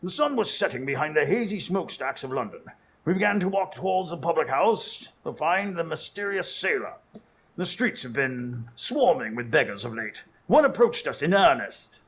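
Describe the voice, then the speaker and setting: An earnest Victorian doctor, played by a man in a radio play, telling his tale dramatically. His voice is gravelly and on the high side, with very lively intonation. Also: slight background noise. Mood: solemn, suspenseful. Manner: measured, dramatic storytelling. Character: earnest, literary male Victorian doctor recounting adventures. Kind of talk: radio drama narration and acting.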